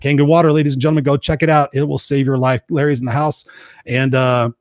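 A man's voice: medium pitch, flat delivery, quick pace, a little mellow, dry recording. An American man co-hosting a casual livestream talk show with exuberant, informal banter and emphatic greetings.

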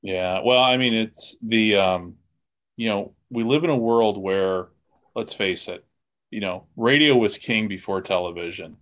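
A man's voice: on the low side, a little slow, a bit bright. A man hosting a casual podcast interview, talking in a rambling, folksy way as he thinks aloud. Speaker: podcast host interviewing guests. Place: remote call on a computer.

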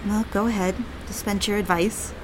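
Woman acting a twenties daughter in a radio play, conflicted, wry n romantic, mid pitch, bit of noise behind. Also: fairly even intonation, normal speed, lightly acted. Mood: subdued, guarded. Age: 20s. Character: conflicted, romantic young woman confiding in her father. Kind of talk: audio drama acting.

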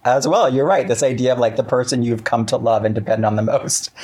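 A man being interviewed as a writer, chatting casually in a wry, halting way with self-interruptions and acted-out asides. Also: medium pitch, darkish tone, husky.

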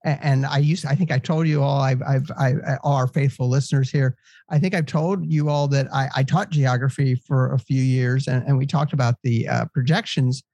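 A man's voice: medium pitch, steady tone, normal speed, darkish tone, no room sound. An American man with a congested nose hosts a casual co-hosted discussion in a jokey, rambling way. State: congested from allergies. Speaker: podcast host.

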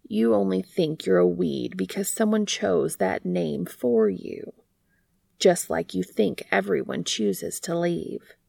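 A woman voicing an earnest, devoted male bee in a storybook, speaking softly, tenderly and deliberately. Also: on the low side, gravelly, dry recording, clean studio sound, exaggerated voice. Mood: earnest, resolute. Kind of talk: storybook character reading.

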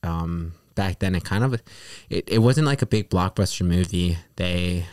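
A man's voice: deep voice, normal speed, darkish tone, smooth, no room sound, studio quality. A young man from New York in casual conversation, rambling with lots of "like" and restarts. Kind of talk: casual podcast chat.

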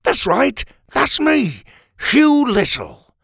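A man's voice: very high voice, huge pitch swings, slow, creaky, a bit bright, breathy edge, no echo, clean studio sound, voice pushed up, heavily acted. A man voicing a cheerful, naive little dad in a children's story, hearty and oblivious. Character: cheerful, naive tiny dad, overly friendly. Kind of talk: acted children's story narration.

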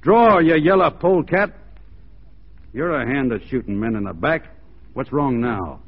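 A man's voice: mid-range voice, animated, unhurried, breathy edge. An actor playing a folksy, fearless Texas lawman with an American accent and a drawling, hesitant manner in a 1940s radio western. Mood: goading. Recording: tinny, band-limited 1940s radio recording.

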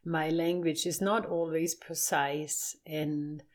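A woman's voice: deep voice, little pitch movement, takes it slowly. An older woman with a German accent, a storyteller speaking reflectively in an interview, sometimes searching for words.

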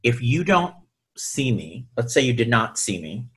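A man's voice: mid-range voice, big pitch swings, a little slow, slightly rough. A middle-aged man, a singer, speaking as a podcast guest in a frank, emphatic way and laughing easily. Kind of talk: conversational interview.

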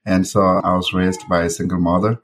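A man's voice: low-pitched, flat delivery, a little fast. A man in his forties from Cameroon, a nurse and public health expert, telling his story earnestly as a podcast guest. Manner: earnest, storytelling with fillers and restarts. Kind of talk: conversational interview.

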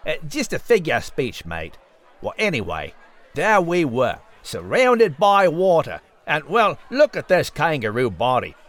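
A man's voice: on the high side, huge pitch swings, measured pace, fairly bright tone, heavily acted. A man voicing a breezy, matey male kangaroo with an Australian accent in a comic audio drama. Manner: colloquial, matey.

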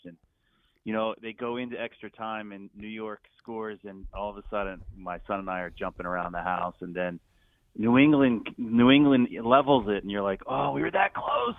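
Male announcer as call-in guest, fairly deep, enthusiastic and conversational, narrow telephone audio. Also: no echo. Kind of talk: casual, enthusiastic interview chat. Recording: thin phone-line sound, dry.

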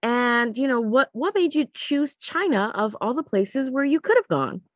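A woman's voice: a little high, big pitch swings, moderate pace, dry recording, clean studio sound. A woman hosting a podcast, interviewing in a casual, chatty and informal way.

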